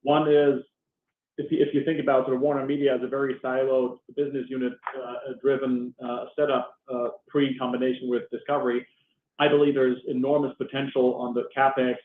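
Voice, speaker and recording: Halting man on an echoey phone line. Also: mid-range voice, little pitch movement. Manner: hesitant, halting delivery with repeated words. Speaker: finance executive on an earnings call. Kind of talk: unscripted financial briefing. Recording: echoey, noisy narrowband conference call.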